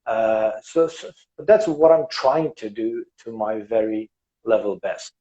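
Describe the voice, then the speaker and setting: A man from Afghanistan hosting a casual podcast interview, speaking haltingly with many fillers and restarts. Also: on the low side, warm tone, highs cut off. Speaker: podcast host. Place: Western Australia.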